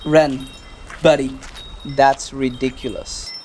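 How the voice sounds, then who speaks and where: A woman playing a breezy, overconfident spaceship captain in an audio sitcom, grandly reassuring and unhurried. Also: a bass voice, little pitch movement, darkish tone, slight background noise. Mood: breezy confidence. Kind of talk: sci-fi audio drama sitcom acting.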